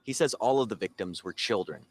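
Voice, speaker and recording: A man TV reporter narrating a scripted news package in a measured, formal way. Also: lowish voice, lively intonation, slight background noise.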